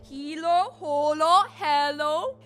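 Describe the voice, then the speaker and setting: A wicked witch for a stage audio play, high and piercingly sharp. She is imperious and quick to rage, with theatrical command. Faint music plays underneath. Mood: chanting.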